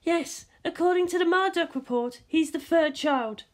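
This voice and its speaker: A woman's voice: extremely high pitch, normal speed, voice pushed up. A woman reading an audiobook, voicing a flustered, complaining grown woman captain. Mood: apologetic. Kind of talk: audiobook character voice.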